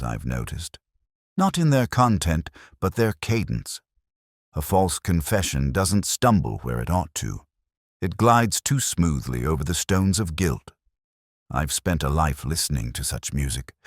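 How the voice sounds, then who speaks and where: Very deep, world-weary detective, acted by a man. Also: no room sound, studio quality, exaggerated voice. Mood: melancholy, musing. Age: middle-aged. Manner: measured, wry, reflective, literary. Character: world-weary, wry, literary English male detective narrating his case. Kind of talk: scripted first-person mystery narration.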